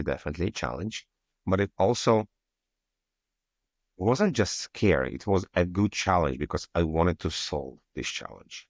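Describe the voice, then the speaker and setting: Russian-accented male guest, very deep voice, dry close sound, earnest, non-native phrasing. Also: no echo. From Kyiv, Ukraine. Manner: earnest, slightly broken English grammar. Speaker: guest, cybersecurity architect. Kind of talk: interview answers.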